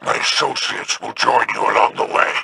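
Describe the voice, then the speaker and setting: A gravelly, scheming alien crime boss. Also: male voice, lowish voice, steady tone, normal speed, sharp tone, dry recording, exaggerated voice, poor audio. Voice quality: gravelly growl. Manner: sly, scheming, haughty. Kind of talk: video game dialogue.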